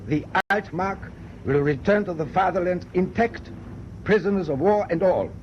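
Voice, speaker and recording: An actor playing a stern, proud German naval captain in a radio drama, speaking in a clipped, formal, authoritative way. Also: male voice, high voice, normal speed. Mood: grave, resolute. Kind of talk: BBC radio drama acting. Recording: dull, noisy old radio broadcast tape.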